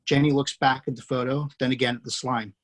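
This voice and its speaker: Husky man reading a screenplay. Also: medium pitch, a little fast. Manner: steady, matter-of-fact. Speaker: screenplay reader. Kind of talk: reading screenplay action lines.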